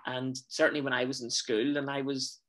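A Northern Irish man in his thirties talks over a webcam from a small room at home. His voice is bright and forward, at an ordinary middle pitch, and he rambles easily through stories with plenty of fillers. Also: little pitch movement, a little fast. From Northern Ireland. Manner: chatty, rambling storyteller with many fillers. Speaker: guest, stage actor and singer. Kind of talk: casual conversational interview. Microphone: webcam on a video call.

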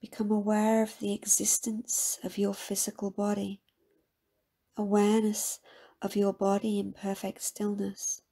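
Woman doing a guided meditation, slow and soft spoken, mellow breathy voice, calm instructions. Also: medium pitch. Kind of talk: guided meditation, scripted soothing narration.

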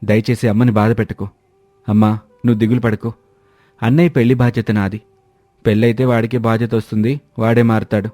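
Man as an earnest young farmer, mellow voice. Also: on the low side, flat delivery, fairly quick, long pauses, no echo, lightly acted. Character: hardworking, dutiful younger farmer brother. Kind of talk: animated moral story dialogue.

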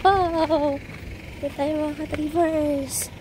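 A young woman speaks in a high voice and chats to her viewers with rambling asides. She talks into a phone held at arm's length. Loud traffic and wind noise fill the background. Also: fairly even intonation, slow pace. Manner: chatty, thinks aloud with fillers, talks to viewers and her dog. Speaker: travel vlogger. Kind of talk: casual road trip vlog. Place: outdoors by a roadside and in a seaside restaurant.

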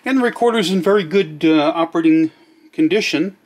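A man with a somewhat high-pitched voice walks through a piece of equipment. His delivery is plainspoken and matter-of-fact, like an informal hands-on demonstration. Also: brisk. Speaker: demonstrator of vintage electronics. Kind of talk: casual product demonstration narration.

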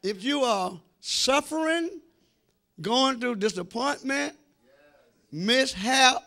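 Middle-aged American male preacher, gravel in the voice, bright forceful delivery, direct address. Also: very high voice. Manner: emphatic, drawn-out phrasing, addresses congregation directly. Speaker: senior pastor preaching. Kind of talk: sermon. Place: church sanctuary before a congregation. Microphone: handheld microphone.

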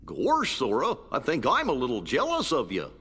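Husky, goofy cartoon dog. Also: male voice, mid-range voice, huge pitch swings, normal speed, a bit bright, big-room sound, exaggerated voice. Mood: puzzled, friendly. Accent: American. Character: goofy, good-natured male cartoon dog. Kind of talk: cartoon character acting in a game.

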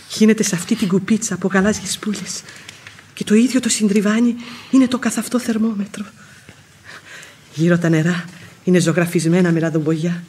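An actress playing a humble, devoted, sharp-tongued housemaid in a vintage Greek radio play. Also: female voice, on the low side, close to an everyday voice. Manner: plain-spoken, self-effacing. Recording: old radio recording with background noise.

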